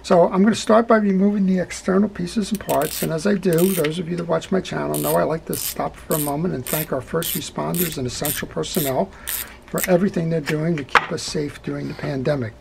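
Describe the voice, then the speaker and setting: Middle-aged guy at a workbench with a gravelly raspy voice, chatty repair how-to, slight hiss behind. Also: a little high, steady tone, brisk. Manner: talkative, folksy, explains step by step with "right?" checks. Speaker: fishing reel repair channel host. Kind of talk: hands-on repair tutorial. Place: indoor workbench.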